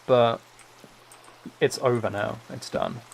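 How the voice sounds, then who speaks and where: Gravelly man musing on a game livestream. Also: medium pitch, steady tone, slow pace, a little hiss or hum. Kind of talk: relaxed chat while playing a building game.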